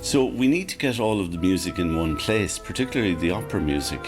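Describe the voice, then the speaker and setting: An Irish man who edits opera scores, speaking as a guest in a radio interview in a thoughtful, measured way, often adding "you know" and "I mean". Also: deep voice, expressive. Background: music.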